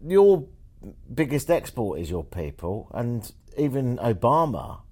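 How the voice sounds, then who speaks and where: A man's voice: mid-range voice, big pitch swings, unhurried, darkish tone, husky. A man in casual conversation, teasing and wry, painting pictures for his listener. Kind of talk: casual chat.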